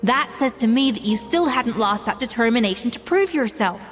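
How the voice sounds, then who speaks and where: A proud master chef, played by a woman, speaks with calm, self-assured authority in a slightly raised voice. The lines carry a long hall reverb with faint music underneath. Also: on the high side, fairly quick, natural voice. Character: confident, proud female master pastry chef. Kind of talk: video game voice acting.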